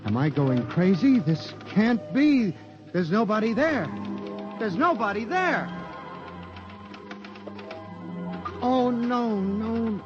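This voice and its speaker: Anxious American statistician, earnest and intense, dramatic 1950s radio narration, dull vintage recording, clear musical backing. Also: male voice, sits high, very animated, slow pace, music in the background, exaggerated voice. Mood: panicked, dazed. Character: anxious, earnest statistician clerk, unhappy and haunted. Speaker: actor playing narrator and lead.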